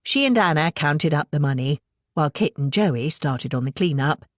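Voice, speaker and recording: A woman's voice: low-pitched, expressive, normal speed, dry recording, studio quality. A woman with a British accent reading scripted fiction as an audiobook narrator.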